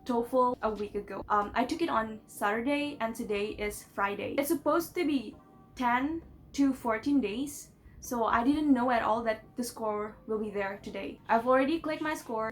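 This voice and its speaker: Chatty Indonesian-accented young woman. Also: a little high. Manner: chatty, giggly, many fillers like "like" and "you know". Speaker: vlogger. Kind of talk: casual chat to camera.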